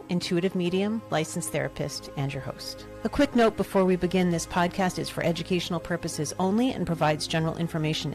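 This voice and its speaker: A woman hosting a spiritual podcast, gentle and earnest in how she talks. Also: fairly low pitch. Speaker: podcast host and medium. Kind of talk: podcast interview.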